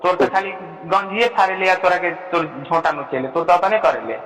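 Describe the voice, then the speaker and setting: High-voiced blunt man, heavy reverb. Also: fairly quick, fairly bright tone. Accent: Bhojpuri. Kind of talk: informal phone conversation. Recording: echoey, reverberant leaked call recording.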